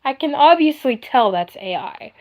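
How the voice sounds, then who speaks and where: Woman livestream host, slightly high voice, casual and rambling, earnest, repeats points for emphasis. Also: animated, normal speed, a little mellow.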